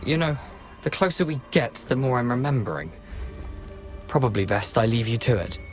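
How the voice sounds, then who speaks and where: A man's voice: medium pitch, expressive, normal speed, over music, natural voice, rough recording. A man voicing a breezy, genteel ghost of a former student in a video game, with a theatrical, flippant manner. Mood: musing, airy. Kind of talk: scripted video game acting.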